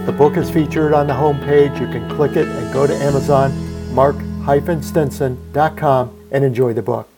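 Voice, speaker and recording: A man's voice: mid-range voice, steady tone, normal speed, over music. A man hosting a podcast interview, upbeat and promotional in tone. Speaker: podcast host.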